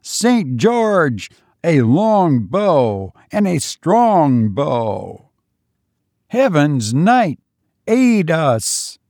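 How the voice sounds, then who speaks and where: A man's voice: fairly high pitch, very animated, very slow, darkish tone, dry recording, clean studio sound, pitched above the performer's own voice, heavily acted. A man voicing a host of ghostly medieval bowmen who call out fervent battle cries to their saint.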